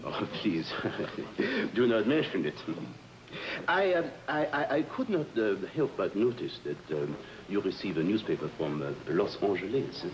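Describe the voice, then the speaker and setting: A deep, fawning hotel manager. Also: male voice, big pitch swings, slight background noise, rough recording. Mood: eager, fumbling. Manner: halting, hesitant, fawning speech with searched-for words. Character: fat, obsequious male hotel manager on a tropical island. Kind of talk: old-time radio drama acting. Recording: old radio recording with music.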